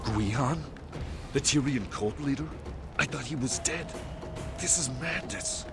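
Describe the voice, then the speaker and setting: A man's voice: mid-range voice, lively intonation, a little slow, close to an everyday voice. A man voicing a serious, alarmed game warrior in an urgent, questioning manner. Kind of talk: video game voice acting. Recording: loud music under the voice.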